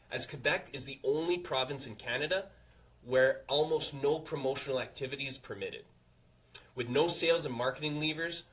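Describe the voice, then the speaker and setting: A man reading a scripted earnings presentation to investors as a company executive, in a formal, confident, measured way. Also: medium pitch, fairly bright tone.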